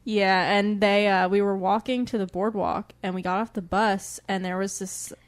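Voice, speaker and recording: A woman's voice: medium pitch, fairly even intonation, moderate pace. A woman co-hosting a podcast, chatting casually in a giggly, playfully sarcastic way. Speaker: podcast co-host. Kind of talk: casual chat and banter.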